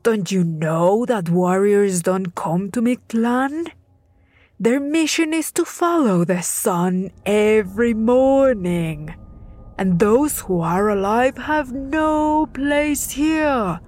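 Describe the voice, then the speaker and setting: A woman voicing a menacing male lord of the dead who speaks slowly and commandingly in a storybook. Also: on the high side, animated, a little mellow, no room sound. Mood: stern. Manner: slow, commanding.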